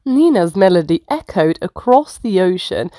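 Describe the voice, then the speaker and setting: A woman reading bedtime stories for children in an expressive, theatrical way with deliberate phrasing. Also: on the low side, no room sound, clean studio sound. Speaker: children's story reader. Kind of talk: expressive bedtime storybook narration.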